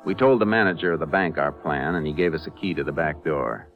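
Steady western lawman, very deep, commanding, old radio drama sound, slightly dull. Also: quick pace, soft background music. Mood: narrating. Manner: curt, commanding, folksy. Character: tough, steady Texas Ranger lawman. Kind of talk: 1950s radio western acting. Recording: muffled old 1950s radio recording.

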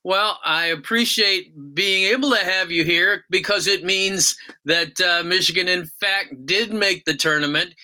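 Male sports podcast host, conversational interviewer, extremely bright tone, slightly high pitch. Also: a little slow, hardly any pauses.

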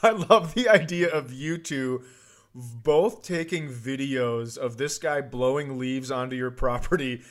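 A man's voice: medium pitch, measured pace. A man chatting casually and comically as a podcast co-host, with a wry, teasing way of talking. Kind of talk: casual comedic chat.

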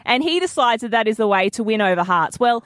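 A woman's voice: fairly high pitch, expressive, fast, dry recording. A woman co-hosting a radio show, chatty and wry in her banter. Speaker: radio co-host. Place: radio studio.

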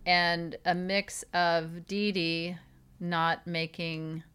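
A woman's voice: on the low side, little pitch movement, very slow, fairly bright tone, smooth. A woman hosting a comedy podcast, chatting casually in a playful, teasing manner. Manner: playful, teasing, mock-defensive. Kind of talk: casual comedic chat about a documentary.

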